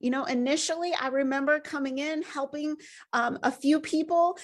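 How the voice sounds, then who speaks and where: A woman's voice: high-pitched, normal speed. A woman hosting a webinar, upbeat and encouraging as she presents. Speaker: host.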